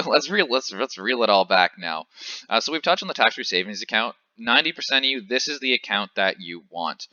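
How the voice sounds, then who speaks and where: A man's voice: mid-range voice, very animated, brisk, sharp tone, dry recording, muffled recording. A man in his twenties from Canada explaining investing in a casual, chatty way, with frequent fillers and self-corrections. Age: 20s. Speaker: finance educator.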